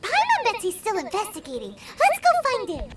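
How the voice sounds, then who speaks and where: A woman voicing a small, chirpy, childlike fairy companion in a video game, exclamatory and excitable. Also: extremely high pitch, a little fast, recorded from a distance, very noisy, heavily acted. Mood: curious. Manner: refers to self in third person, exclamatory. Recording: game dialogue over loud music.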